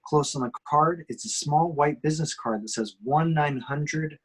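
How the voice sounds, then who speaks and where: A man's voice: a little high, moderate pace, husky. A man reading out action directions as narrator at an amateur screenplay table reading. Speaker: reader of stage directions.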